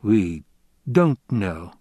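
A man's voice: fairly low pitch, very animated, drawn-out words, dark tone, no echo, exaggerated voice. A man playing a weary, guarded military man, bitter and terse, in an audiobook story.

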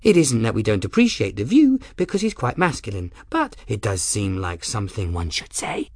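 A narrator voicing an odd, fey, flustered young apprentice girl in an audiobook, breathy and rambling to herself in the third person. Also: mid-range voice, sing-song, moderate pace, no echo, studio quality. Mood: whispered, fretful. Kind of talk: audiobook character dialogue.

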